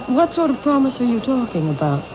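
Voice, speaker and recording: An actress playing a polite, reserved bookshop owner in a 1970s radio drama, courteous and restrained. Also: female voice, a little high, big pitch swings, a little fast. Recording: dull old radio recording, light noise.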